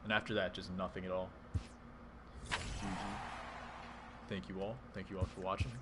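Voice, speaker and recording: A man with a fairly low voice talks loosely over his own baseball video game, reacting to plays and answering viewers in chat. The stream audio carries a clear layer of background noise under his voice. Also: little pitch movement, normal speed. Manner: chatty, reads and answers chat while playing. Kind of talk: casual live-stream commentary.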